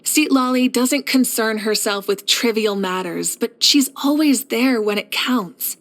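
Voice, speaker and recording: A woman voicing a steadfast, caring warrior leader in a video game, speaking in a measured, reflective and assured way. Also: a little high. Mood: calm, assured. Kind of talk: scripted video game character voice lines.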